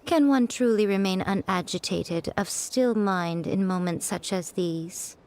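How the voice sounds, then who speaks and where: A woman's voice: medium pitch, a little slow, darkish tone, close to an everyday voice. An actress playing a composed, knowing noblewoman in a video game, speaking in a formal, reflective storytelling manner. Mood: musing. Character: composed, knowing noblewoman recounting a swordmaster's fall. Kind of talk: dramatic video game voice acting.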